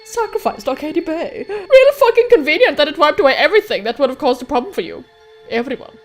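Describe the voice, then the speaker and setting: Woman voicing a sneering, high-pitched teenage girl. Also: big pitch swings, quick pace, faint music behind. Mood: accusing. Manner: sneering, sarcastic, swearing. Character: scornful, accusing teenage girl's inner self, taunting cruelly.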